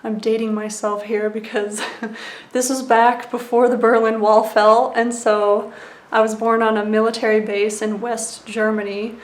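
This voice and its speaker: Slow, soft-spoken woman. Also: fairly high pitch, little pitch movement. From United States. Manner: soft-spoken and unhurried, with frequent ums and small laughs. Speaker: vlogger. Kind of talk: personal reflective vlog. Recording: echoey room.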